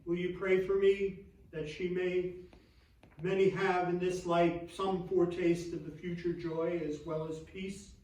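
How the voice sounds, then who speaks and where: Very reverberant hall, man playing gentle bereaved Victorian clergyman, devout and humble, slightly high voice, dramatic letter reading. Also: flat delivery, measured pace. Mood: sorrowful, pleading. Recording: distant echoey hall stage recording.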